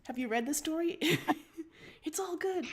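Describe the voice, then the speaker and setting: A woman with a slightly high voice co-hosts a story livestream. She talks casually and reads fan fiction aloud in the same everyday style. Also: expressive, measured pace. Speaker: livestream co-host and story reader.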